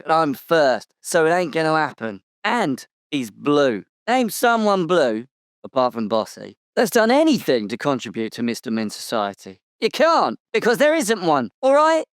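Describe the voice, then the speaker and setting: A man's voice: on the low side, a little mellow, dry recording, clean studio sound. A British man playing a tiny, aggrieved, boastful cartoon-book character in an audio drama interview, chatty and colloquial.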